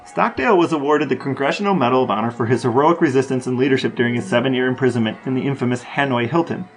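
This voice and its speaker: A man's voice: medium pitch, rapid-fire. A man interviewing a guest on a podcast, asking prepared questions.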